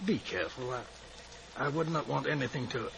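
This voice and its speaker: Male actor for older Scottish spy chief, concerned and gruff; 1950s radio acting, noisy old recording. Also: medium pitch, fairly quick, lightly acted.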